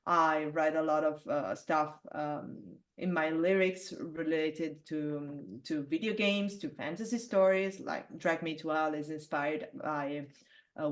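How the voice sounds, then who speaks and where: A woman from Italy who sings metal, talking in a relaxed interview with a low alto voice. She speaks with many hesitant fillers and restarts. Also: a little slow. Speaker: metal singer, interview guest. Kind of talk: casual interview conversation.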